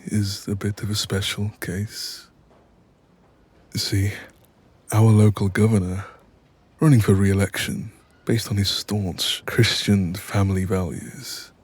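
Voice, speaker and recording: A man playing an apologetic, exasperated mafia boss in an audio roleplay, with a husky voice and a wry, sweary, chatty manner. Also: on the low side, darkish tone. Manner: wry, sweary, chatty, calls listener doll. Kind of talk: audio roleplay acting, intimate one-sided dialogue.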